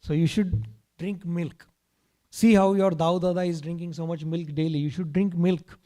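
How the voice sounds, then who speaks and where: A man voicing a doting mother who coaxes and gently explains to her small son in a storytelling. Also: fairly high pitch, fairly even intonation, normal speed, lightly acted. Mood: patient teaching.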